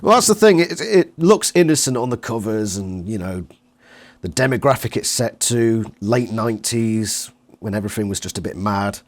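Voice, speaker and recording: A man's voice: lowish voice, expressive, normal speed. A man with a British accent, interviewing a guest in a casual, chatty style. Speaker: interviewer, channel host.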